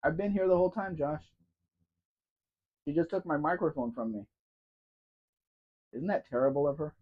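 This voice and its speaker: A man's voice: a little high, moderate pace. Guy chatting on a livestream, wanders around while he talks, kinda muffled audio. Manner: chatty, answers chat by name, wanders while talking. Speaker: livestream host. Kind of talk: casual live Q&A chat.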